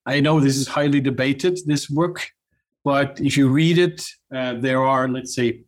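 Brief: middle-aged Norwegian-accented man, guest on an interview, mid pitch, hesitant and full of restarts. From Norway. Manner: halting, many restarts and fillers. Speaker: guest, company cofounder. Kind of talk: casual interview chat.

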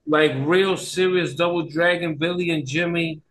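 Enthusiastic, slightly higher-pitched man chatting casually. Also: steady tone, moderate pace, a bit bright, slight background noise. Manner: enthusiastic, slangy fan talk. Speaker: podcast guest. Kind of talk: casual chat.

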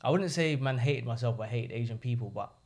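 A young man chats on a podcast as a guest. He tells his stories in an animated way, slipping into the voices of the people he quotes. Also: medium pitch, fast. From England. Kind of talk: casual podcast chat.

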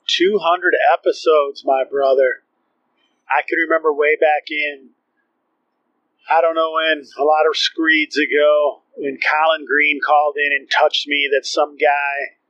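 A man with a slightly high, bright voice rambles effusively in a casual message. The sound is lo-fi and his delivery is theatrical. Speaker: podcast caller. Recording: dry lo-fi voice message.

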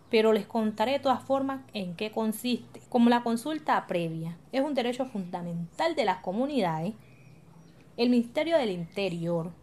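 Radio drama community leader, played by a woman: higher voice, calm, explanatory, reassuring.

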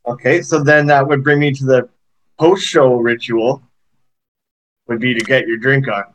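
A man's voice: medium pitch, fairly even intonation, moderate pace, poor audio. A man with a mid-range voice runs a casual interview. He asks loose, rambling questions in an easygoing, conversational way.

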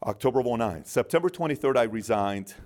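A middle-aged man born in Iran, guest in an interview, telling animated stories and acting out the dialogue. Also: mid-range voice, fast. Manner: animated storyteller, acts out dialogue in quotes. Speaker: guest, business founder. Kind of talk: storytelling interview.

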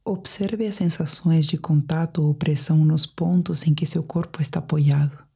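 A Brazilian woman guiding a sleep meditation in a soft, whispery, breathy voice, speaking in a calm, measured, soothing way. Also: low-pitched. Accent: Brazilian Portuguese. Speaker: meditation guide.

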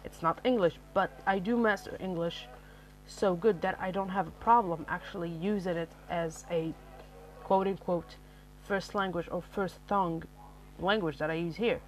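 A woman's voice: on the low side, steady tone, normal speed, a little hiss or hum, poor audio. Rambling woman podcaster, Arabic native. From Algeria. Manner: rambling, self-correcting, lots of fillers. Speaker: podcast host. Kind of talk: solo podcast talk on writing.

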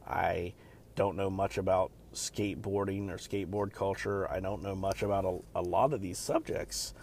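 A man hosting a podcast alone, talking in a loud, deep voice and rambling casually with many fillers and restarts. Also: steady tone, moderate pace, vocal fry, slight background noise. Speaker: podcast host. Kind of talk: casual solo podcast chat.

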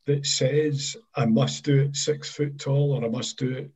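A man hosting an interview, asking measured questions with some fillers. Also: medium pitch, fairly even intonation, a little mellow, breathy edge. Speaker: interview host.

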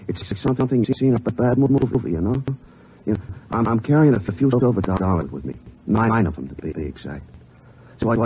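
A man's voice: medium pitch, close to an everyday voice. A man playing a nervous, talkative, streetwise taxi driver who was a crime victim, in an old radio drama. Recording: muffled, noisy old radio recording.

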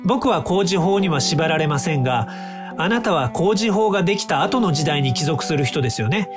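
An actor in an audio drama playing an earnest, polite young time-traveling inventor who explains things courteously. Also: male voice, fairly high pitch, expressive, moderate pace, over music, close to an everyday voice. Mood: calm, explanatory.

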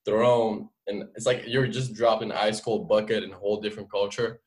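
A man's voice: on the low side, steady tone, a little fast, darkish tone. A man in his twenties from France with a French accent, telling his story casually and informally as an interview guest, peppering it with filler phrases. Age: 20s. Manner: informal, explanatory, frequent "like" and "you know". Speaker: podcast guest.

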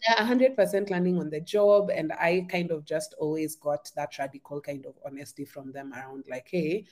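A woman's voice: low voice, moderate pace, a little mellow. Chatty woman with a sore throat. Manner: chatty, many "like" fillers, laughs often. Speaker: podcast guest. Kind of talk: casual conversation.

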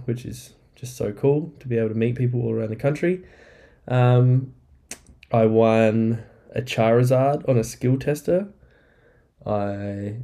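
A man hosting a casual solo podcast, rambling and upbeat, a bit nasal and croaky from the flu, recorded on a laptop mic in an apartment. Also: medium pitch, dark tone. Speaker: podcast host. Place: apartment indoors. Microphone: laptop microphone.

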